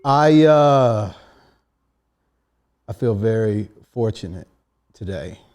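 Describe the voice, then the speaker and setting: Man, podcast host, nervous, halting, slow speech, mellow warm tone. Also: lowish voice, lively intonation. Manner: hesitant, halting, soft-spoken. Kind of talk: interview conversation.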